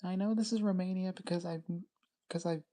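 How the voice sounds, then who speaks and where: A woman from Canada on a casual live stream, naming items one at a time in short, unhurried phrases. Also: fairly low pitch, steady tone, dark tone.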